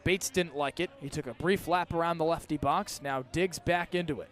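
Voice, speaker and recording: A man's voice: a little high, normal speed, fairly bright tone, a faint crowd. A man doing live radio baseball play-by-play outdoors at a ballpark, in clipped, descriptive calls that rise with the action. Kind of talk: live radio sports commentary.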